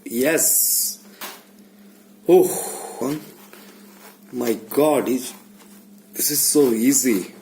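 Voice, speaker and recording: A man giving a casual hands-on tutorial, speaking haltingly and muttering to himself as he works indoors. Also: mid-range voice, dark tone. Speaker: DIY vlogger. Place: small indoor room. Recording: background noise, room ambience.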